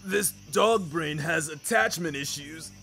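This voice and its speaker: A man's voice: fairly high pitch, a little slow, bright, forceful tone, soft background music, voice pushed up. A man voicing a frightened, needy, dramatic male werewolf in a fiction podcast, with plaintive exclamations.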